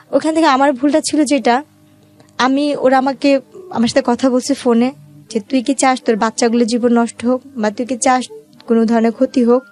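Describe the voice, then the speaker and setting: Woman, Bangladeshi accent, slightly high pitch, interview guest, personal storytelling, colloquial, light background music. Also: darkish tone. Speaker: interview guest telling her life story.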